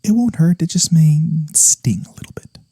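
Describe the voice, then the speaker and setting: A man playing a gentle, courteous incubus demon in a soft-spoken ASMR fantasy roleplay, polite and a little hesitant, with a breathy voice that sometimes drops to a whisper. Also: a little high, very animated, normal speed, no echo. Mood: reassuring, playful.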